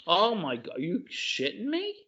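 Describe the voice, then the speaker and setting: A man co-hosting a podcast in casual chat, gushing and excitable, calling his friend "dude.". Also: high-pitched, normal speed, husky, dull audio. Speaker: podcast co-host.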